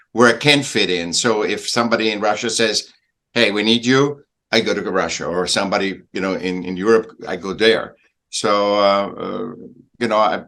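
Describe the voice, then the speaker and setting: A man from Vienna with an Austrian accent, a native German speaker, talking casually as a podcast guest in a halting, emphatic way. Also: on the low side, a bit bright. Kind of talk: casual conversational interview.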